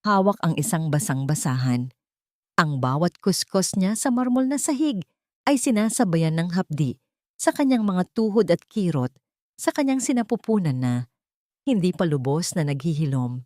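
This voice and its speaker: Female narrator for a melodramatic audio story, slightly low voice, very dry studio-like sound. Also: big pitch swings, moderate pace, a little mellow, no room sound. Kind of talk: dramatic scripted story narration.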